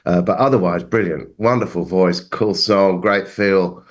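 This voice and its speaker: A man with a deep voice gives casual feedback as a music judge. He speaks in short, measured phrases, weighing what works against what does not. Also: fairly even intonation. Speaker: music judge on a review panel.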